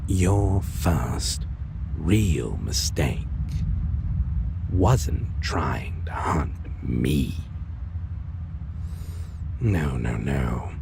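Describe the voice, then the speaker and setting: A man voicing an arrogant, taunting vampire in a dark fantasy audio roleplay, savoring his words theatrically with sinister amusement. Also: lively intonation, extremely slow, long pauses, noisy background, exaggerated voice. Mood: smug, scornful. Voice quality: deep, gravelly, breathy at times. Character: taunting, arrogant male vampire lord, formerly a hunter.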